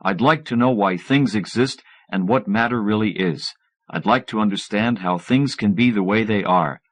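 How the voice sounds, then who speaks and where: A man's voice: on the low side, normal speed, lightly acted. Guy doing a snooty scornful professor man, sarcastic and dismissive, audiobook acting. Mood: grudging. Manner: sarcastic, pompous. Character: haughty, scornful male professor, cold and dismissive. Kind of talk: acted audiobook dialogue.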